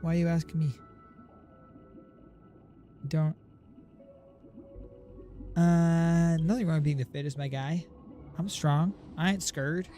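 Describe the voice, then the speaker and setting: A male streamer with a slightly high voice reacts casually to a game. His delivery is skeptical and slangy, full of short repeated asides, with faint music behind him. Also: flat delivery, slow, pauses often, dark tone.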